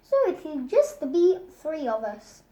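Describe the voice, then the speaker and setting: A young boy voicing a firm, insistent mother from a storybook, brisk and scolding. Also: very high voice, huge pitch swings, mellow, heavily acted. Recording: roomy, echoing home recording.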